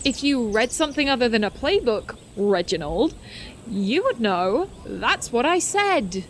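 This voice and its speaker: A bookish teen science nerd voiced by a woman for an audio drama, pedantic and snarky. The pitch is on the high side and moves around a lot, with light ambience behind. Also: sing-song, measured pace, slight background noise. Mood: exasperated.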